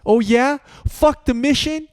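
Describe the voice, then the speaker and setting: A man voicing a sardonic young tough guy who yells and swears in an audiobook reading. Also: sits high, lively intonation, moderate pace, voice pushed up. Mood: heated. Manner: sardonic, yelling.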